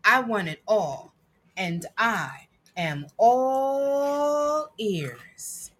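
A woman reading a picture book aloud with emphatic, dramatic phrasing and deliberate pauses. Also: fairly high pitch, lively intonation, slightly rough. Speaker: guest reader and producer.

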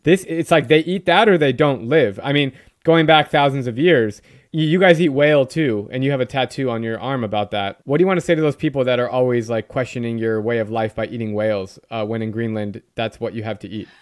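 A male podcast host chats casually with his guest, enthusiastic and a bit rambling as he tells his stories. His voice is smooth and resonant, with a mid-range pitch. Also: brisk. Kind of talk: casual interview chat.

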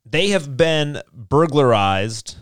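A man with an average-pitched voice hosts a casual panel chat. He talks conversationally and hedges his points. The studio sound is dry, with little room around him. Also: lively intonation, slow, clean tone, no room sound. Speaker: talk show host. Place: small studio.

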